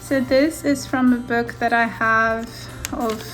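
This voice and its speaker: A woman whose first language is Afrikaans, gently showing a handmade journal page by page in a casual flip-through. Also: a little high, steady tone, soft background music. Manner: gentle, softly enthusiastic, unhurried. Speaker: junk journal maker. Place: indoors at a craft table.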